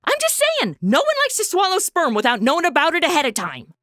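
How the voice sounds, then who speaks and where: A woman's voice: high voice, wildly swooping pitch, fast, fairly bright tone, no room sound, clean studio sound, heavily acted. A woman with an American accent playing a brash, sarcastic, slangy modern young sidekick in a comedy audio drama. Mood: grumbling, chatty.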